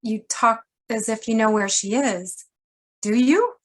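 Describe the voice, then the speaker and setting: A shrewd woman of secrets from a hard-boiled radio drama played by an actress. Her voice is a little high. She delivers her lines in a measured, dramatic, knowing manner. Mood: guarded, defensive. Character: shrewd, wry woman publisher hiding a killer's past. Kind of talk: noir radio drama acting.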